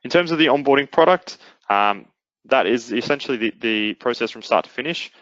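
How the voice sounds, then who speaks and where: A man presenting a software product in a webinar, explaining it step by step in an instructional way. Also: medium pitch, expressive, a little fast, dry recording, highs cut off. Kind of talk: explanatory webinar demo narration.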